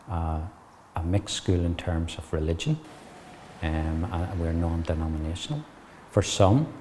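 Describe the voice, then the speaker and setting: A man's voice: sits low, mellow, a little hiss or hum. A man, a school head, setting out points steadily in an interview. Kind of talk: documentary interview.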